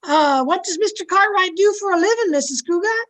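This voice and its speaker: A woman's voice: very high voice, animated, a little fast. A woman playing a nosy, frank young housemaid in an old-time radio comedy, with a girlish voice. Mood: dutiful.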